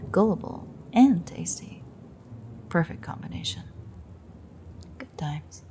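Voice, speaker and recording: A woman playing a smug, predatory female vampire who teases and menaces her captive in an intimate, unhurried audio roleplay monologue. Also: on the low side, expressive, in a whisper. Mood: wistful, sly. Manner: teasing, sardonic, unhurried, menacing.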